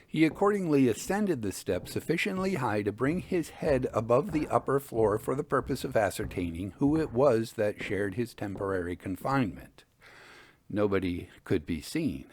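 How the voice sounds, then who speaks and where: A man hosting a storytelling show, reading scripted narration and old ghost tales in a measured, deliberate way. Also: medium pitch, expressive, darkish tone, slight background noise. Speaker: podcast host and storyteller.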